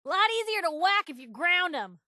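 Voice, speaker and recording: A brash young woman adventurer from a video game. Her voice sits high and is recorded close and dry, with no room around it. She delivers short barks and quips in a sardonic, playful, fearless way. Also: very animated, normal speed, sharp tone, no room sound, full character voice. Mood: urgent, excited. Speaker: game companion character.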